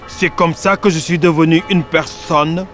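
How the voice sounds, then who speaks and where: A man performs a theatrical monologue, declaiming each phrase with dramatic pauses. His voice sits high for a man, and he takes his time, letting lines land slowly. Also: fairly even intonation, fairly bright tone, soft background music. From Senegal, Casamance. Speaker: actor.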